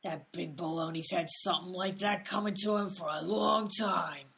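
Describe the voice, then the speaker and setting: A woman's voice: on the low side, fairly even intonation, normal speed, creaky, fairly bright tone. A woman voicing brassy, gossipy 1920s theatre spectators with slangy wisecracks in a radio comedy. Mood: excited. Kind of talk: old-time radio comedy acting.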